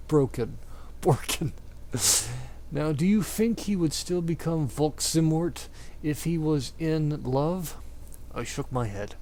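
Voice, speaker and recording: A man's voice: on the high side, darkish tone, slight background noise. A man giving a mock-dramatic amateur reading of fiction, with a theatrical, exaggerated delivery. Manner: theatrical, exaggerated delivery, often breaking into giggles. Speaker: amateur reader of fan fiction.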